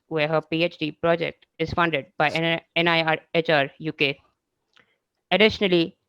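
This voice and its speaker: An event co-host reading a formal introduction of the speaker in a steady manner, over a video call. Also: mid-range voice, clean tone, no room sound. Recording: dull, dry lo-fi call audio.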